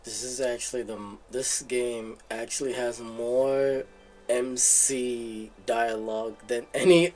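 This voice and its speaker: A man doing casual commentary as he streams a game playthrough. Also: mid-range voice.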